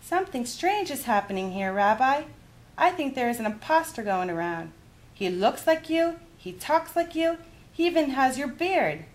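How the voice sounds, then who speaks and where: A woman voicing a kindly, chatty old grandmother in a storybook, with animated, exclamatory delivery. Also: sits high, moderate pace, pitched above the performer's own voice. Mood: bewildered.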